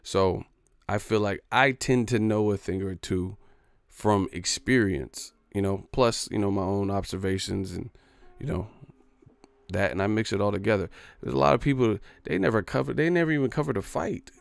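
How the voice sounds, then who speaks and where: A man's voice: on the low side, normal speed, creaky, no echo. A man ranting on a livestream in a boastful, profane, streetwise way. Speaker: boxing YouTuber. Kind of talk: livestream rant.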